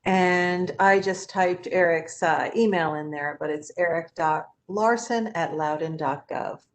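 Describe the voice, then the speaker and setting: A woman's voice: fairly low pitch, a little slow. A woman moderating an online question session for a library program, brief and procedural. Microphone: video call.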